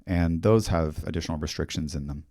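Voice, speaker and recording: A middle-aged man with a Canadian accent giving a technical conference talk in a fairly monotone, steady voice, speaking into a handheld mic on a hall stage. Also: very deep voice, fairly quick, clean studio sound. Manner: monotone, steady explanatory delivery. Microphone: handheld microphone.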